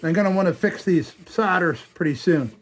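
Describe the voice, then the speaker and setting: A hoarse man talking casually to himself as he restores an old lantern at a workshop bench, thinking aloud. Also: high-pitched, lively intonation, brisk, a little hiss or hum. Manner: chatty, thinking aloud while working. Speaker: restoration channel host.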